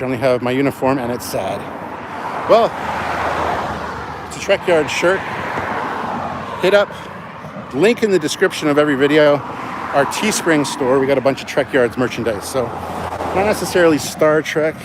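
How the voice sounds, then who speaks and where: A man livestreaming casually as he walks outdoors, talking in a rambling, joking, sweary way. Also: medium pitch. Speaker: livestream host. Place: outdoors, walking along city streets near traffic. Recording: noisy outdoor street with passing cars.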